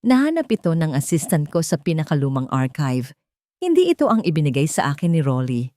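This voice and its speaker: A woman voicing a serious, guarded but considerate rich man in a romance story, speaking in a measured way. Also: sits low, sing-song, warm tone, no echo, clean studio sound.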